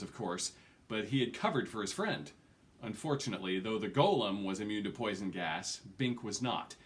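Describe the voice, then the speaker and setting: A man's voice: mid-range voice, a bit bright. A middle-aged man reading a fantasy novel aloud at home, expressively, stressing key words. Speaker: amateur book reader. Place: small furnished room at home.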